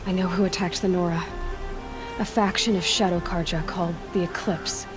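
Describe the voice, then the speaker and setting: Blunt young huntress played by an actress. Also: female voice, fairly low pitch, steady tone, normal speed, music in the background, natural voice. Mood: serious, urgent. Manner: direct, wry.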